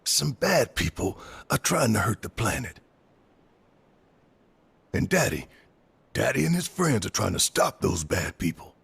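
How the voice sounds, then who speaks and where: A gruff resistance fighter and loving father in a game cutscene. His voice is very husky and heavy with gravel, rising and falling freely as he speaks with protective, earnest tenderness. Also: mid-range voice, a bit bright, heavily acted. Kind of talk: video game cutscene acting.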